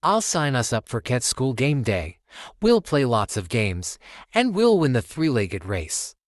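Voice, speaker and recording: An excitable young boy cat from a children's storybook, played by a man, earnest and eager. The lines swoop up and down at an unhurried pace, with a dry, close sound. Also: medium pitch, dry recording. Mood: eager, determined. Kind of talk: children's storybook read-aloud.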